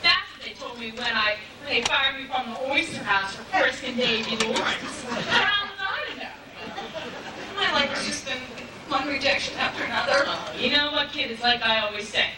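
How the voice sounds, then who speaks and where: A woman's voice: high voice, lively intonation, normal speed, over a noisy crowd, very noisy. An actress playing a world-weary, unlucky working woman in a stage musical comedy, wisecracking with resignation. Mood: wry, resigned. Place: theater stage. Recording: distant, very echoey theater videotape.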